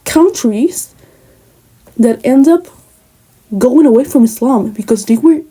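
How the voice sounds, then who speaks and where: A woman's voice: sits high, slow, warm tone, slightly rough, poor audio. A young woman from Spain with a Moroccan family background, talking earnestly and casually to camera with many asides. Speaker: vlogger. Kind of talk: personal talk to camera.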